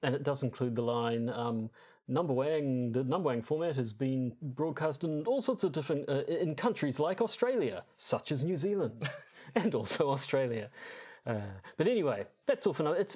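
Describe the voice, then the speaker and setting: A man's voice: fairly high pitch, a little mellow. A man co-hosting a podcast in casual discussion, with a hesitant, rambling delivery that often repeats words. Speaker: podcast co-host.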